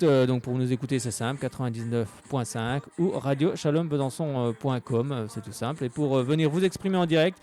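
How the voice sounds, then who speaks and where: A man's voice: medium pitch, darkish tone. A man hosting a live sports show on local radio, chatty and full of hesitant fillers.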